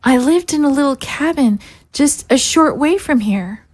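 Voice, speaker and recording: A woman's voice: high-pitched, animated, unhurried. An actress playing a timid, apologetic young female recruit, hesitant and kind, in an audio drama. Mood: earnest. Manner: hesitant, meek.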